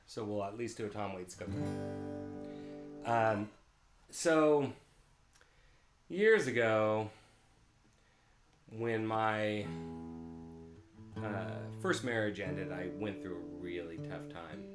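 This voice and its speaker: A man hosting a live music stream, chatting easily and rambling with his viewers between songs, picked up by a mic a few feet away. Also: on the low side. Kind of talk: casual chat between songs. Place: home room in California. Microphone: open-air mic three or four feet away. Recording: roomy echo from a distant open mic.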